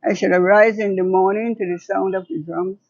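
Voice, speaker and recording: Older woman, creaky voice. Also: mid-range voice, steady tone, fairly quick, darkish tone, no echo, highs cut off. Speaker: interview guest and poet. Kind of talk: reminiscing in conversation and reciting own poetry.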